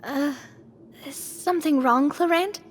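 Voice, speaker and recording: A woman voicing a curious, eager young adventurer in a video game, inquisitive and expressive. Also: sits high, measured pace, in a large hall, a little hiss or hum.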